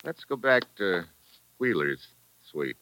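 An actor playing a tough, terse American investigator with a dry wisecracking manner in a 1950s radio detective drama. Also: male voice, lowish voice, sing-song, slow, over loud music, heavily acted. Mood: dry, wry.

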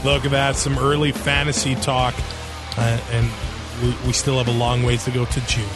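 A man co-hosting a casual sports podcast, talking in a relaxed, halting way with lots of fillers. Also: medium pitch, over music. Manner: relaxed, hesitant with many fillers. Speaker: podcast co-host.

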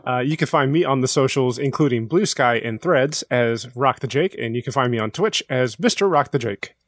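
Guy on a podcast just chatting, middle pitch, dry sound. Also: expressive, normal speed, dry recording. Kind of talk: casual podcast chat.

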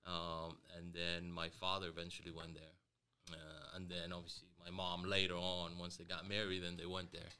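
A man in his forties from Venezuela chats easily as a podcast guest, pausing on fillers. His voice is very deep, with a sharp, bright edge and a crisp, clean sound. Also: completely flat delivery. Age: 40s. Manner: easygoing, laughs often, hesitant with fillers. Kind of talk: casual interview chat.

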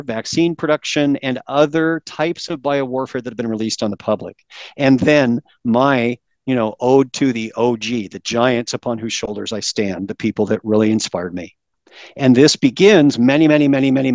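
A man of middle pitch, speaking as a podcast guest. He lays out his points emphatically, like a lecture. The sound is dry and close. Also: dry recording. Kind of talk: interview, explaining a presentation.

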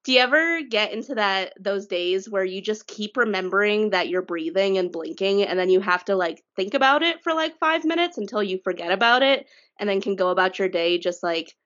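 A woman co-hosting a podcast with a cold, her voice a little congested. She chats and tells stories in a lively, rambling way, with pitch that jumps around. The audio is slightly dull and lo-fi. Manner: chatty storyteller, lively pitch swings. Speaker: podcast co-host. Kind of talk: casual chat.

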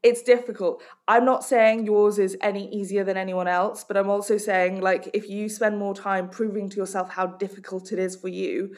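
A woman's voice: mid-range voice, little pitch movement, moderate pace, few pauses, a little mellow. Direct, emphatic woman from Hong Kong. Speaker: life coach and author. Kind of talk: conversational interview answers.

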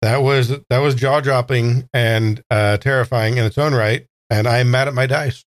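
Male tabletop gamer, average pitch, casual and jokey, recorded dry. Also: steady tone, normal speed, no room sound. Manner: jokey, playful exaggeration. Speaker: player in a tabletop game. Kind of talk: casual actual-play game chat.

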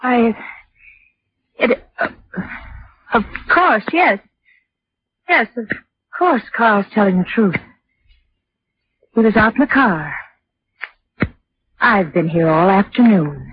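Casting: defiant, nervous society wife, halting radio melodrama delivery, muffled 1940s recording. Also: female voice, medium pitch, animated, slightly rough. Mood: shaken. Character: defiant, rattled well-to-do wife under suspicion.

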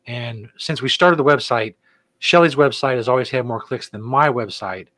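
A man's voice: mid-range voice, moderate pace, a bit bright, no room sound. A middle-aged man co-hosting a casual podcast, laid-back and joking as he rambles through announcements. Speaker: podcast co-host. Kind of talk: casual chat.

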